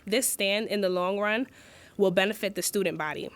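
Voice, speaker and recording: A woman's voice: medium pitch, normal speed. Earnest young woman reading a speech. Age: young adult. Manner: earnest, restarts when she slips. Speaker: student election candidate. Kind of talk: read campaign speech.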